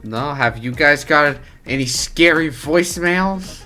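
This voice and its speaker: A man's voice: fairly high pitch, expressive, takes it slowly, bright, forceful tone, faint music behind. A man hosting a reaction video, chatting in a casual, informal and joking way.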